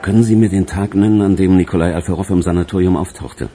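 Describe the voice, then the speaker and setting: A man's voice: sits low, steady tone, fast, loud music behind the voice, lightly acted. An actor playing a condescending veteran police investigator in a German radio crime drama, formal and pedantic as he interrogates. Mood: formal probing.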